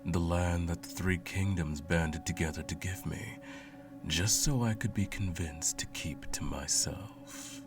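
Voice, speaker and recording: A man playing a cruel, smug, all-powerful sorcerer in an ASMR roleplay, with a slow, condescending, menacing drawl and a gravelly voice. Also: low-pitched. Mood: haughty, menacing. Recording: music playing under the voice. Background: music.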